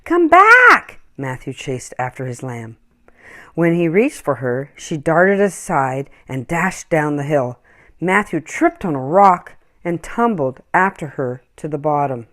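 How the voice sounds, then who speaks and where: A woman reading a children's story aloud in an expressive, acted-out storytelling style. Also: sits low, measured pace. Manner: animated, acts out dialogue and animal sounds. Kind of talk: expressive scripted storybook narration.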